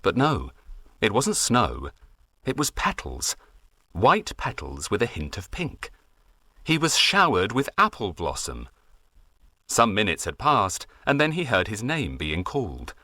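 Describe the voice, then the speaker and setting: A man reading a storybook aloud as audiobook narrator, in an expressive way. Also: fairly low pitch, unhurried, no echo, studio quality.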